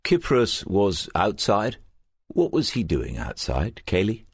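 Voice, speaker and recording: A man's voice: fairly low pitch, huge pitch swings, takes it slowly, vocal fry, husky, dry recording, voice pushed up, exaggerated voice. A man voicing a probing male police detective in an audiobook, terse and questioning. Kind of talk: audiobook character voice.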